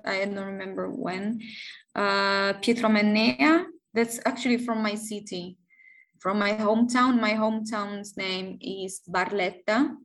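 Italian woman in her 30s, talks real slow, lots of ums and pauses, chatting in an interview. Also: medium pitch, fairly even intonation, fairly bright tone. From southern Italy. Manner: hesitant, many pauses and fillers, light laughs. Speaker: guest. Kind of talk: casual interview chat.

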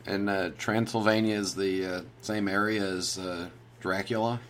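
A man's voice: sits low, fairly even intonation, moderate pace, fairly bright tone, slight background noise. A man hosting a podcast and interviewing a guest in a casual, friendly manner. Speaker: podcast host.

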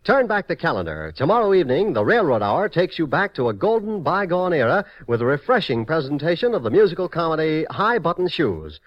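A man's voice: on the high side, very animated, fairly quick, few pauses, no echo. A man reading network promotions in a scripted 1950s radio announcing style. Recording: dull, dry 1950s radio recording.

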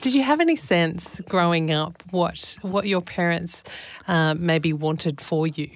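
Curious woman interviewer, low voice. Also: a little slow. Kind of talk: interview questions.

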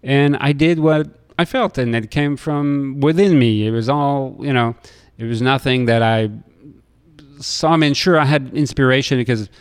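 A middle-aged man from France with a French accent, telling stories in an interview in a halting way, often hesitating and repeating words. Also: mid-range voice, clear, resonant tone. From Lille, France. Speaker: restaurateur being interviewed. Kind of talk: conversational interview storytelling.

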